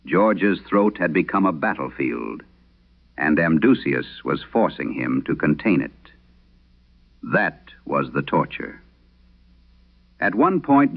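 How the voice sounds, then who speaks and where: Man acting a deep, gravelly old exorcist priest. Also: narrow, tinny audio, heavily acted. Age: older. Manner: solemn, deliberate, dramatic. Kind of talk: scripted horror radio story narration.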